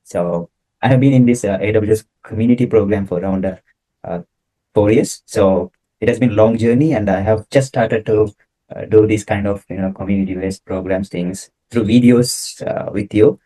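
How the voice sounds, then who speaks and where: A young man from Sri Lanka co-hosting a casual slide talk over a video call, explaining things in a relaxed way with fillers. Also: on the low side, moderate pace, low-quality recording. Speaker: co-host, solution engineer. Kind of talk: casual presentation chat.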